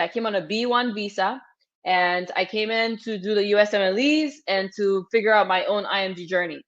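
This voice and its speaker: Upbeat woman coaching a webinar crowd. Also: mid-range voice. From Cameroon. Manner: upbeat, direct, repeats cues like "okay?" to the audience. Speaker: physician hosting a webinar for international medical graduates. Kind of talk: energetic live webinar coaching. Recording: slightly dull lo-fi webinar audio.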